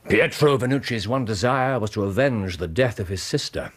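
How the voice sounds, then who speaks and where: A man's voice: fairly low pitch, expressive, normal speed. An actor playing a brilliant, brooding English detective in a British radio drama, precise and theatrical in his speech. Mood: grave regret.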